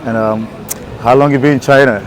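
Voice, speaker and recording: A man's voice: mid-range voice, steady tone, fairly quick, background noise. A man hosting street interviews, asking brief, casual questions. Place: city street outdoors.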